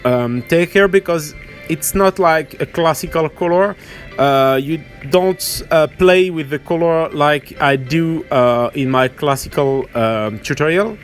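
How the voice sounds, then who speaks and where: French-accented man, slow halting speech. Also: on the high side, faint music behind. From France or French-speaking region. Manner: hesitant, halting, searching for words. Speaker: miniature painting tutorial host. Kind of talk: informal hands-on tutorial commentary.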